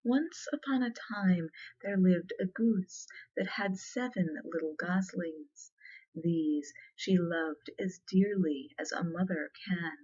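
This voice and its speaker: A woman reads fairy tales in a hushed, soothing ASMR manner, taking her time over every phrase at an extremely slow pace. Her voice sits slightly low, and the recording is a little dull and muffled. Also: slightly rough. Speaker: ASMR storyteller, host. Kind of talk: soft-spoken ASMR fairy tale reading.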